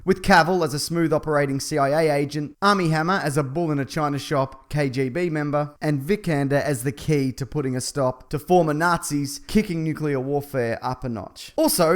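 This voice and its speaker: A man hosting a scripted comedic film review, with sarcastic, dry humour and exaggerated delivery. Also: on the high side. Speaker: film reviewer, channel host.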